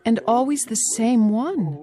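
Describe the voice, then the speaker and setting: An actress playing a gentle, worried adult granddaughter in a radio drama, soft-hearted and hesitant. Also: female voice, a little high, expressive, measured pace. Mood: anxious.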